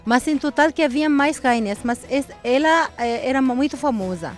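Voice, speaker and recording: An Egyptian woman working as a tour guide outdoors, explaining history in halting learned Portuguese, with Arabic as her first language. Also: high voice, soft background music. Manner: halting non-native phrasing, explanatory. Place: outdoors at an ancient temple.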